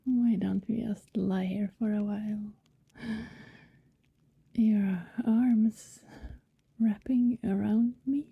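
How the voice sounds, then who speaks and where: A woman playing a tender, possessive vampire in an intimate ASMR roleplay, seductive and wistful, whispering slowly and soothingly close to the microphone. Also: medium pitch, steady tone, no echo, phone-quality sound, heavily acted. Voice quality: breathy, mostly whispered. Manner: slow, lingering, soothing delivery. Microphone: very close, dry microphone.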